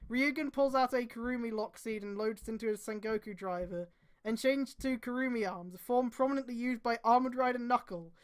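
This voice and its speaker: A woman's voice: a little high, clean tone. A woman streamer reading aloud and adding exasperated, sweary mocking commentary.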